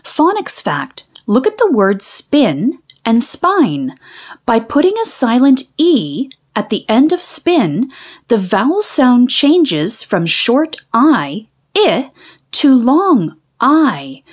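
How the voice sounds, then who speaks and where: A woman reads aloud for young children at an unhurried pace. Her voice is fairly high and swings widely in pitch. She is careful and deliberate, drawing out key sounds. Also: sing-song. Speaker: read-aloud storyteller for children. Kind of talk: scripted children's story and phonics reading.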